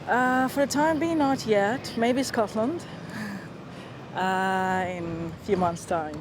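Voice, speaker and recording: A woman answers questions outdoors in the city in light, hesitant phrases, pausing as she thinks. Clear outdoor noise runs behind her voice. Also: medium pitch. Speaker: passer-by interviewee. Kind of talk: casual chat. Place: outdoors in a London park.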